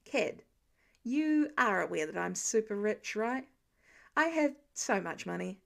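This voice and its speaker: A woman's voice: mid-range voice, expressive, unhurried, vocal fry, fairly bright tone, heavily acted. Woman reading a wry, fatherly rich man. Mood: amused, proud. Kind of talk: fan fiction audiobook dialogue.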